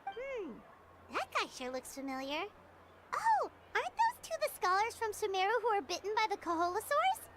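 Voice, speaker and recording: A woman voicing a tiny, excitable childlike girl sidekick in an anime video game, squeaky and exclamatory. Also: normal speed, heavy background noise. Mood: musing. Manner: exclamatory, refers to herself by name.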